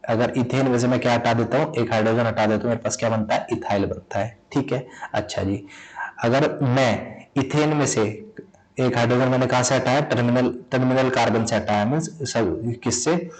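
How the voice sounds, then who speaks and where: A man from India teaching a chemistry lesson in Hindi. He has a sore throat and clears it often. He keeps asking questions and answering them himself, and repeats each point. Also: mid-range voice, moderate pace, low-quality recording. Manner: repetitive, asks and answers own questions. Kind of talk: explaining a lesson.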